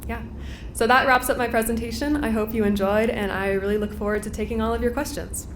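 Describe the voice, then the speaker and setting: A woman's voice: on the high side, fairly even intonation, quick pace, a little hiss or hum. Woman explaining a chart in a roomy hall. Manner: explanatory, casual fillers. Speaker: conference presenter. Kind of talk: explaining a graph in a presentation.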